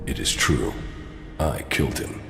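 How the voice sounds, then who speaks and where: A man playing a stoic, blunt ex-commander with a gravelly voice in a video game. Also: measured pace, close to an everyday voice. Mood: grim, firm. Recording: loud music behind.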